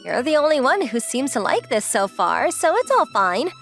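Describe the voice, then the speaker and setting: Casting: a woman plays a girlish young manga-dub heroine, high-pitched and lively, relaxed, affectionate and playfully teasing. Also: normal speed, faint music behind. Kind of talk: acted anime-style dialogue.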